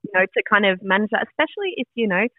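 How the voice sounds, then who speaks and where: A woman's voice: medium pitch, animated, racing, dry recording, narrow, tinny audio. A young woman hosting a casual podcast chat, rambling with lots of fillers. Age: young adult. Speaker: podcast host.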